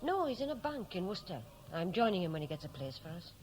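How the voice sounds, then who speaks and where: An actress with a Welsh accent playing a guarded, stoical married waitress in a 1960s radio drama. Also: female voice, on the low side, sing-song, fast. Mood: guarded, polite. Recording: old radio recording, light background noise.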